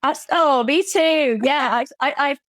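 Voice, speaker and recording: A woman's voice: sits high, a little slow, a bit bright, dry recording, studio quality. A British woman guesting on an interview, talking about her coaching work with enthusiasm and often repeating words for emphasis. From Britain. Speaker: guest, burnout recovery coach. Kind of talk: casual interview chat.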